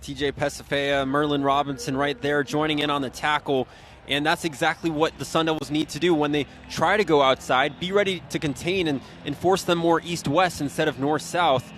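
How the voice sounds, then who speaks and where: A man's voice: on the high side, fairly even intonation, fairly quick, fairly bright tone. An American man giving live color analysis between plays on a college football radio broadcast. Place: football stadium broadcast position. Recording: outdoor stadium background noise.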